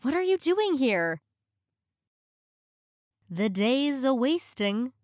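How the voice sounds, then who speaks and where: A woman reading short English practice phrases in a clear, measured way, each one said twice. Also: high voice, sing-song, darkish tone, no room sound, studio quality.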